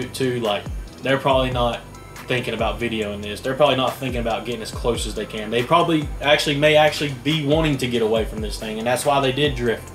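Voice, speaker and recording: A young man co-hosting a casual video review, earnest and fair-minded, rambling with restarts. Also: mid-range voice. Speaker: co-host. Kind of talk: casual video analysis chat. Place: small indoor room at a table.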